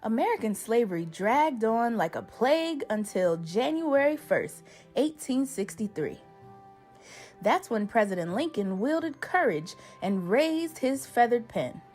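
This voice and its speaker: Slow, expressive woman reading a storybook over music. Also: fairly high pitch. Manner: expressive, deliberate phrasing. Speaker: children's book reader. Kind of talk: scripted storybook narration.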